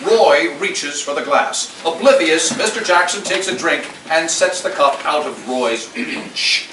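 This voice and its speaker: A man's voice: fairly high pitch, animated, a little slow. A man narrating the stage directions of a script at a live table read. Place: live staged event in a room. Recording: echoey room, distant mic, clear noise.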